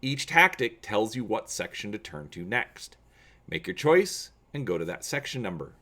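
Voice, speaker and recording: A man hosting a gaming channel, explaining to viewers and reading aloud dramatically with expressive emphasis. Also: lowish voice, moderate pace.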